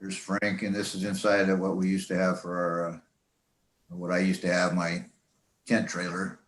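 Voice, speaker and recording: An older man with an American accent gives an informal slideshow talk, reminiscing in a rambling, halting, conversational way. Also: sits low, monotone. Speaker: speaker presenting to a radio club. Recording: echoey, roomy sound.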